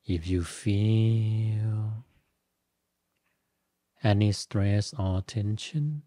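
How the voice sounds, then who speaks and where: Casting: male meditation guide, low-pitched and very mellow, gentle short instructions, unhurried with long pauses. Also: no echo, clean studio sound. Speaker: Buddhist monk, meditation teacher.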